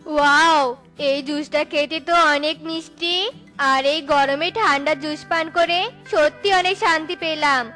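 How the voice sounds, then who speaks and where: A woman's voice: sits high, steady tone, normal speed, pushed and bright. A woman voicing a friendly bird customer in a children's cartoon, gushing with appreciation. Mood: delighted, grateful. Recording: faint music under the voice.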